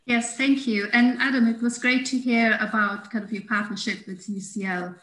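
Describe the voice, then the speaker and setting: A woman academic speaking carefully and deliberately as a panelist on a video call from home. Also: fairly high pitch, level tone, fairly bright tone, echoey room, rough recording. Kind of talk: online panel discussion. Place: home room.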